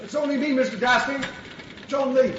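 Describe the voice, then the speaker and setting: A man's voice: very high voice, moderate pace, fairly bright tone. An actor playing a deferential young male servant accused of murder in a radio drama. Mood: hasty, deferential. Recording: roomy, noisy, muffled radio drama sound.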